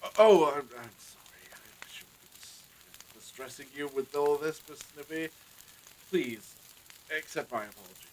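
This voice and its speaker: A man's voice: fairly high pitch, normal speed, a little hiss or hum, natural voice, low-quality recording. An actor playing an anxious, courteous village husband and father in a period audio drama, with hesitant, formal old-fashioned phrasing. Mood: troubled.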